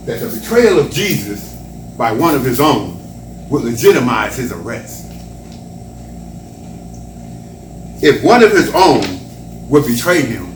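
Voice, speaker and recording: A man playing Judas as a guilt-ridden betrayer in a church play monologue, explaining himself theatrically with halting, dramatic pauses. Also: mid-range voice. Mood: sly, reasoning. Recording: roomy echo, distant mic, faint noise.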